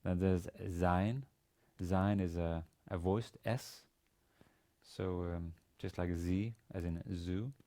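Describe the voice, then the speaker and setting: A man in his forties, a native Danish speaker with a Danish accent, giving an instructional lecture in a halting, hesitant manner with repeated words. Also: deep voice, steady tone, warm tone. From Denmark. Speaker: university language teacher. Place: indoor studio with plain grey backdrop.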